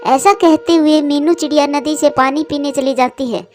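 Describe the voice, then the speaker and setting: High-voiced woman narrating a children's story. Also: fairly even intonation, normal speed, faint music behind.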